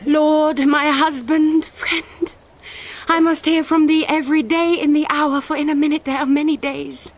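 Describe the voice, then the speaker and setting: An actress playing a passionate, grief-stricken young noble bride in a classical Shakespearean radio drama, with earnest poetic delivery. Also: female voice, high voice, little pitch movement, measured pace. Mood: anxious, loving.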